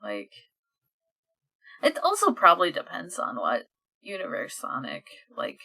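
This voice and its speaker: Female podcast co-host, informal and animated, bright tone with lively pitch swings. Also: measured pace, creaky, breathy edge. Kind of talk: casual chat.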